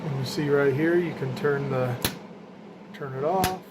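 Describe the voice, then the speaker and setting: Man, loud humming equipment noise, slow delivery, mid pitch, informal hobbyist walkthrough, plainspoken. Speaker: hobbyist showing his radio setup. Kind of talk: casual unscripted walkthrough. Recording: loud hum of fans and room noise.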